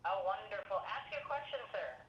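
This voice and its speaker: A woman's voice: medium pitch, very animated, moderate pace. A woman acting as moderator of a live question-and-answer conference call, introducing callers and relaying questions. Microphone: conference call connection. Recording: echoey, muffled webinar call with background noise.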